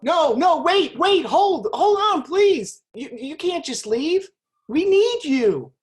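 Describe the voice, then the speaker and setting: A woman's voice: high voice, very animated, slow, exaggerated voice. A woman playing a flustered, stammering stage manager who scolds the narrator in a community stage play. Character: flustered female stage manager trying to keep the show going.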